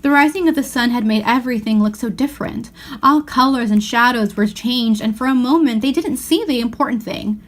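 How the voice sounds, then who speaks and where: A woman reading a children's novel aloud as expressive narration. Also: fairly high pitch, brisk. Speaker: storybook reader.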